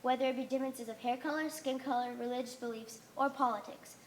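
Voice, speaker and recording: A woman's voice: high voice, steady tone, fast, a little hiss or hum, natural voice. A young woman playing a stern, solemn religious leader in an amateur stage play, speaking in commanding, declamatory tones. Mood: solemn, preaching. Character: stern authoritarian female religious leader of a conformist society. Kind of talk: amateur one-act stage play acting. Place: theater stage.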